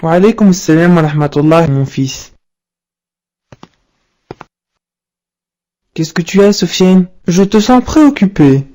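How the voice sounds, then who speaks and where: A woman plays a cartoon father with a soft, dark, fairly low voice. He is wise and pious, explaining things patiently and in measured phrases to his young son. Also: expressive, natural voice. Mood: concerned. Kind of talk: didactic cartoon dialogue.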